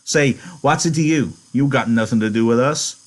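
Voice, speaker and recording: A man voicing a practical, guarded yet dreamy male ranch worker with rough, folksy phrasing in a novel reading. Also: medium pitch, normal speed, voice pushed up, lightly acted. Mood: suspicious. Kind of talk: audiobook-style character reading.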